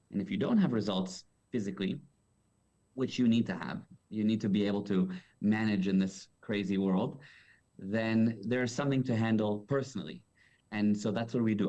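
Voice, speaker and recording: A man's voice: on the low side, mellow. A man in his thirties, raised in South Africa and Florida with Hebrew as his first language, talking confidently and fluently as a guest on a podcast. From South Africa and Florida, USA. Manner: confident, fluent explaining with filler words. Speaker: guest entrepreneur. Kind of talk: podcast interview. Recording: muffled lo-fi remote audio.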